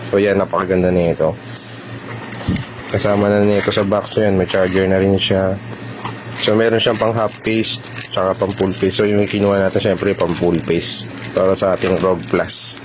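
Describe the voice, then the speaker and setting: Filipino man, deep voice, little pitch movement, casual vlogger addressing viewers, hands-on demo, clearly noisy recording. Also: level tone, normal speed. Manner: informal, addresses viewers directly. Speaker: vlogger presenting a product installation. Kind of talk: casual product demo vlog narration.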